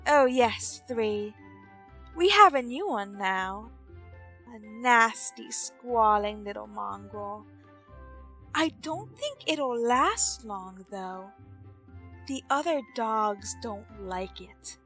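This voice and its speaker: A woman playing a wife with cold vengeance beneath genteel manners, in theatrical, deliberate 1940s radio drama style. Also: a little high, animated, sharp tone, faint music behind, exaggerated voice. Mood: composed, cold. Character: frightened wife turned coolly vengeful, chanting a spell.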